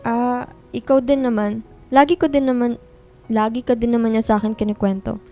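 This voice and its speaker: A woman's voice: a little high, fairly even intonation, a little fast, warm tone, faint music behind, natural voice. A woman playing a sulky, teasing college-age best friend in a radio drama, with blunt slangy banter and a guarded streak. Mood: awkward.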